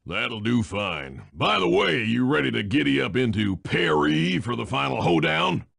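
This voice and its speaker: Gravelly folksy cowboy villain. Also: male voice, mid-range voice, big pitch swings, a little slow, fairly bright tone, exaggerated voice. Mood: boastful. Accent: North American cowboy drawl. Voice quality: gravelly, rough. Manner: folksy, drawling cowboy talk. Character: burly folksy villainous train baron.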